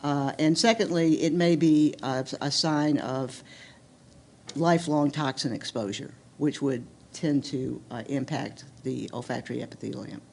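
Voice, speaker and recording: A woman researcher answering a panel question in a careful, halting way, with fillers and restarts. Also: very deep voice, steady tone, gravelly, a little mellow, a little hiss or hum. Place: hospital symposium hall.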